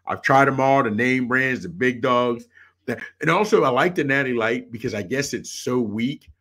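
A middle-aged man with a mid-pitched voice chats casually as a panelist on a sports podcast. He talks informally and repeats his phrases for emphasis, speaking from a room over a video call. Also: moderate pace, pushed and bright. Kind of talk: casual chat.

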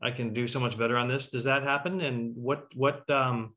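A man with a bright, forceful voice of medium pitch hosts a panel over a video call. He moderates with halting, searching questions. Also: fairly even intonation. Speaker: host. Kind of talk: interview moderating. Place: indoors at home on a video call. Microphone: video call microphone.

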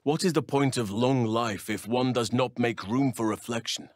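An actor playing a gentle, solemn, protective elf druid in a fantasy video game, speaking in measured, earnest, formal phrases. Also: male voice, mid-range voice, no room sound, studio quality, close to an everyday voice. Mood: calm, thoughtful.

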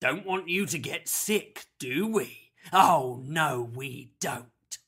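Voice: medium pitch, animated, takes it slowly, fairly bright tone, breathy edge, exaggerated voice. A narrator voicing a menacing, skinny grey man who sneers and growls as he gloats in a children's story. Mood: bossy, threatening. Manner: sneering, growling, repeats himself in gloating tags.

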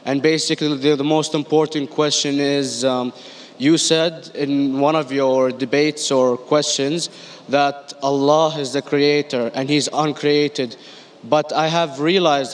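Young iraqi guy asking a question at a lecture, mid voice, bit hesitant. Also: medium pitch. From Iraq. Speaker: audience member asking a question. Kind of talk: spontaneous question at a public lecture. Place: audience floor of a huge indoor auditorium. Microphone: stand microphone.

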